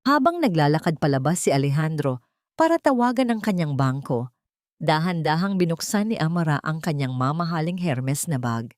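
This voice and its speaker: A woman's voice: low voice, lively intonation, moderate pace, darkish tone, no echo, studio quality. A woman with a Filipino accent narrating a scripted dramatic story as an audiobook.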